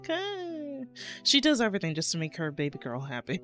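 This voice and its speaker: A woman's voice: medium pitch, huge pitch swings, fairly quick, fairly bright tone, faint music behind. A woman streamer reacting to a game story with giggly, gushing comments.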